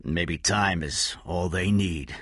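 A man's voice: animated, measured pace, slight background noise. A man doing a weary hardened criminal. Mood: sad. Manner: low, quiet and defiant.

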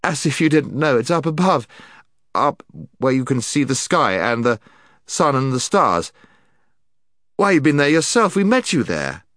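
A man voicing an indignant, impatient young boy in a storybook, blunt, loud and emphatic. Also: mid-range voice, sing-song, measured pace, long pauses, no room sound, studio quality, pitched above the performer's own voice. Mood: exasperated. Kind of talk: dramatised storybook reading.

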